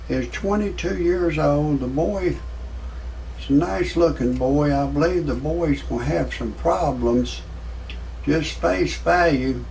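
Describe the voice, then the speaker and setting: Male, 60s, gravelly and slightly high-pitched, folksy rambling commentator, noisy home recording. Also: darkish tone, recorded from a distance. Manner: folksy, repetitive, halting delivery. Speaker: amateur online video commentator. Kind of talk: rambling off-the-cuff commentary with jokes.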